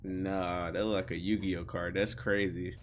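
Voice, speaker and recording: A man's voice: low voice, little pitch movement, measured pace, background noise. A man in his twenties with an American accent, giving casual, slangy reaction commentary in a conversational way. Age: 20s. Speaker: reaction video host. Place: small bedroom, indoors.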